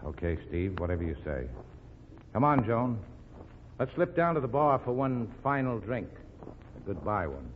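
An actor playing a doomed fugitive in love, tender, resolute and earnest, in old radio drama style. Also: male voice, fairly low pitch, big pitch swings, a little slow, a little hiss or hum. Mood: tender, wistful. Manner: earnest, romantic, melodramatic. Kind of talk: 1940s radio drama acting. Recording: tinny old radio recording with music.

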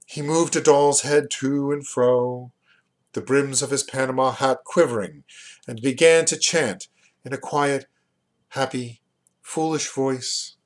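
A man in his fifties gives a literary reading at an unhurried, slow pace. His pitch sits in the middle for a man, and he sets phrases apart with deliberate, expressive pauses. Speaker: reader, poet and rare book seller. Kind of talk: literary audiobook-style reading of a novel. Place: small furnished room at home, indoors. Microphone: webcam or computer mic at desk distance.

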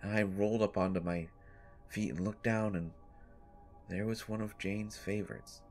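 A man playing a haunted, sleepless brother telling a horror story in first person, with halting, deliberate phrasing and long pauses. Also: lowish voice, steady tone, darkish tone, lightly acted. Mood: uneasy, hushed. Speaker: audio drama storyteller. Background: music.

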